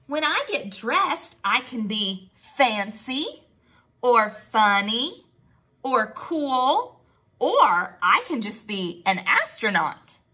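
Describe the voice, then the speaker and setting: A woman's voice: a little high, big pitch swings, very slow, bright, forceful tone, husky, heavily acted. A woman voicing a cheerful, earnest male naked mole rat who loves clothes, in a storybook. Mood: earnest, pleased.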